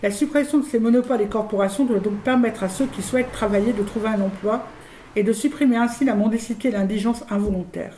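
Woman presenter, formal declamatory delivery, mellow higher voice, scripted commentary. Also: quick pace. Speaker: radio show presenter. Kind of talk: scripted satirical commentary read aloud. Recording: roomy, faint background noise.

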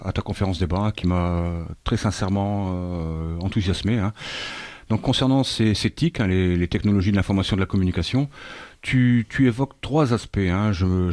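A man conducting an unscripted radio interview as a field reporter, polite and hesitant, often halting as he goes. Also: deep voice, darkish tone, dry recording.

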